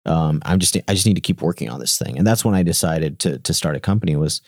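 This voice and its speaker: A man's voice: rumbling low, no room sound, studio quality. A man in his thirties, a founder being interviewed, talking casually with many restarts and fillers, whose first language is Gujarati. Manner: halting, many restarts and fillers, animated quoting. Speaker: startup founder, guest.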